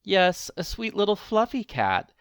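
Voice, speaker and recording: A man's voice: sits high, sing-song, unhurried, fairly bright tone, smooth, no room sound, studio quality, voice pushed up, full character voice. A man voicing a very old woman, a sweet but fretful wife in a children's storybook. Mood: wistful.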